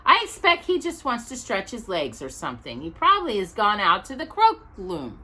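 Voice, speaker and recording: Woman reader as an easygoing husband, bright-toned. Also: medium pitch, wildly swooping pitch, normal speed, heavily acted. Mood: mild, reassuring. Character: easygoing, reassuring married man, father of family.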